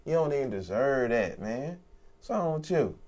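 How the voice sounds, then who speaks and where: A young man co-hosting a TV review, chatting casually in slangy, animated talk and acting out characters' lines. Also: medium pitch, measured pace. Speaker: co-host of a TV review show. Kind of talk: casual chat.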